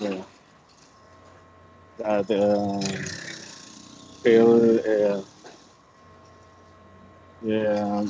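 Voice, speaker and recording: A man's voice: fairly low pitch, a little mellow. A man in his thirties with a Colombian Spanish accent, introducing himself to his class over a video call with many fillers and restarts. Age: 30s. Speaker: student. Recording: muffled call audio, faint noise.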